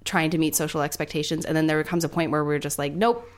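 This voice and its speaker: A woman's voice: low-pitched, steady tone, fast talker. A woman in her late twenties co-hosting a podcast, chatty and giggly, with many fillers. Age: 20s. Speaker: podcast co-host. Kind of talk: casual podcast chat.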